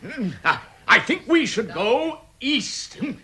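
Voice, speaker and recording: An actor playing a proud, purposeful dwarf leader in a vintage radio drama, speaking with deliberate emphasis. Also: male voice, very high voice, very animated, bright, forceful tone, full character voice. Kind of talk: 1960s radio drama acting.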